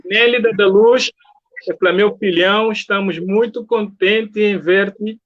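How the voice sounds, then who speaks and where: A jovial man with a Cape Verdean accent hosts a casual live stream. His voice is on the high side, and the audio is a little dull, as on a video call. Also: fairly even intonation, a little slow. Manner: jovial, reads out viewer comments. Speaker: interviewer, host.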